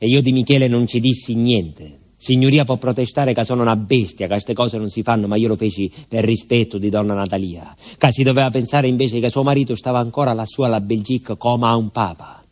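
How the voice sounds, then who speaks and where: A man's voice: mid-range voice, fairly even intonation, brisk, hardly any pauses, darkish tone, lightly acted. An actor playing an aging southern Italian village man, a grieving former miner, telling his story in a colloquial theatrical monologue to an unseen listener. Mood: confiding. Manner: colloquial, rustic, addressing an unseen listener with rhetorical questions. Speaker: stage actor.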